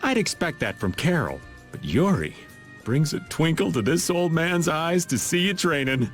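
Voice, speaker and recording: Middle-aged joker for game skit: easygoing braggart, theatrical, hugely varied pitch, over music. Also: male voice, sing-song, moderate pace. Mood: playful. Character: playful, boastful, easygoing middle-aged male rogue. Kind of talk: animated game skit acting.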